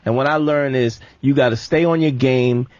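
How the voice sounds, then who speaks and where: A man's voice: medium pitch, normal speed, darkish tone, no echo. An American man co-hosting casual radio banter, emphatic and repeating himself to make a point. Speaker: radio show co-host. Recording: dry, dull lo-fi radio audio.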